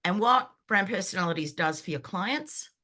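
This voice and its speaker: A woman in her fifties, croaky from a sinus infection, chatting enthusiastically as a guest on a video call, with lots of fillers and restarts. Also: medium pitch, animated, moderate pace, pushed and bright. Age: 50s. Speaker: brand foundations coach. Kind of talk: guest on an online group call.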